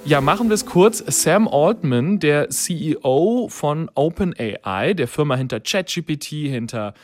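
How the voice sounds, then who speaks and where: Higher-pitched male podcast host over music. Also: lively intonation, unhurried, darkish tone, no echo.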